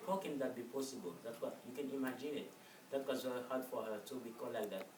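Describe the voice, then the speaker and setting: A man with a medium-pitched voice gives an informal report. His delivery is halting, emphatic and repetitive. The recording is echoey and distant, with clear background noise. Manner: halting, repetitive, emphatic, non-native phrasing. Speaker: correspondent in amateur show. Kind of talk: informal spoken presentation. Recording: noisy echoey room, distant mic.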